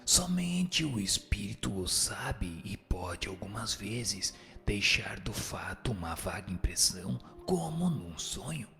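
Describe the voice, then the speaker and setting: A man playing a solemn spirit instructor in a scripted radio drama, answering in a measured, didactic, declamatory way. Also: on the low side, very animated, gravelly, pushed and bright, full character voice. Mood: solemn, instructive. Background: music.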